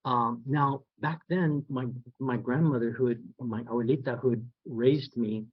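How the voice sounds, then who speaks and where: Soft-spoken man telling stories. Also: medium pitch, steady tone, breathy edge, no room sound. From San Antonio, Texas. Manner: soft-spoken, folksy, halting. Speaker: guest speaker, federal statistics agency director. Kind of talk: storytelling keynote. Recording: dull lo-fi sound.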